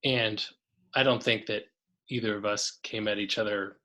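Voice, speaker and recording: A man's voice: on the low side, steady tone, normal speed, pushed and bright. A man, a coach and podcast guest, talking casually and enthusiastically, often restarting his sentences.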